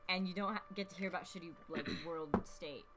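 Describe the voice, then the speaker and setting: A woman's voice: on the low side, a little fast. A woman co-hosting a let's play with casual, wry, slangy joking commentary. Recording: clear background noise.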